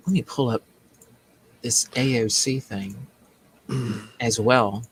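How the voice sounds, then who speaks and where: A man's voice: medium pitch, fairly even intonation, slow pace, breathy edge, low-quality recording. A man hosting a political commentary show in a casual, joking way, rambling and repeating himself as he mocks. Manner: rambling, repetitive, mocking.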